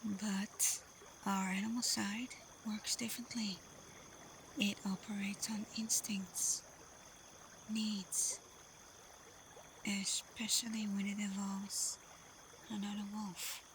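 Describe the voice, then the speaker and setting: Woman acting as alpha she-wolf, soft and breathy, slow, confident, teasing, protective, ASMR roleplay, faint ambient noise behind. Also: medium pitch, little pitch movement. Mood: teasing, intimate. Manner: soft, breathy, often whispered, unhurried.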